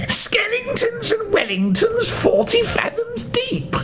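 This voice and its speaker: A man acts out a wicked old storybook witch. The voice lurches up and down in a high, theatrical singsong, slow and drawn out, full of menace. The recording is noisy, with a low rumble. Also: huge pitch swings, heavily acted. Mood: gleeful singsong.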